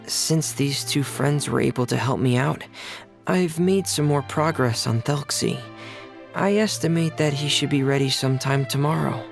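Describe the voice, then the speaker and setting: A man voicing a gentle, reserved teenage boy in a video game, hesitant, soft-spoken and careful. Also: mid-range voice, moderate pace, gravelly, faint music behind, lightly acted. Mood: serious.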